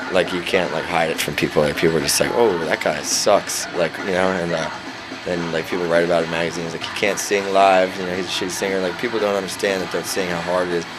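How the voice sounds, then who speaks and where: A man's voice: low voice, rough recording. A young man, a band singer, chatting casually in an interview, laid back, swearing freely and filling his talk with "like" and "man". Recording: loud music playing behind.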